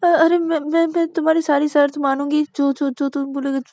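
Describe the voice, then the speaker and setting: A woman's voice: unhurried, dark tone, dry recording, studio quality, lightly acted. A woman voicing a frightened young woman passenger who stammers and pleads. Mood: pleading. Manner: stammering, pleading, shrieking high.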